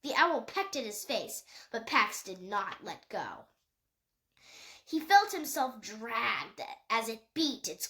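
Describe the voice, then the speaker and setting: A woman's voice: on the high side, sharp tone, poor audio. A woman reading a children's animal novel aloud as scripted storybook narration. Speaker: audiobook reader.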